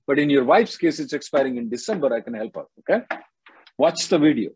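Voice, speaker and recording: A man's voice: medium pitch, lively intonation, a little fast, darkish tone, slightly rough. A man hosting a live call-in advice show, giving blunt, short, repeated answers to callers. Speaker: immigration advice show host.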